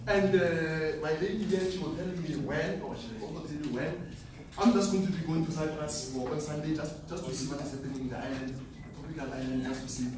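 A young man from South Africa pitches a trading class to seated students, chuckling as he brags. His voice sits a little high. The room rings with echo and the camera is far from him, with faint noise underneath. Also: fairly quick. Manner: animated, boastful, laughs often. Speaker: forex trading speaker. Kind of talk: live seminar talk to students. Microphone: far camera, no mic.

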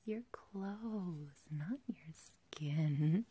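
A woman's voice: fairly low pitch, drawn-out words, dark tone, no echo, full character voice. A woman playing an obsessive, love-crazed young kidnapper in an ASMR roleplay, whispering close to the mic in a rambling, hesitant, sweetly menacing way. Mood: cooing, menacing. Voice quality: breathy, whispery. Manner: rambling, hesitant, cooing, giggly. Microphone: close ASMR microphone, dry.

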